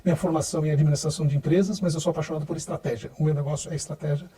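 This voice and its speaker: Middle-aged male interview guest, dark mellow tone, slightly high pitch, measured and hesitant, mic a bit distant. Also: fairly even intonation. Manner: measured, hesitant, with repeated words. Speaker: guest, sales director. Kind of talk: interview answers.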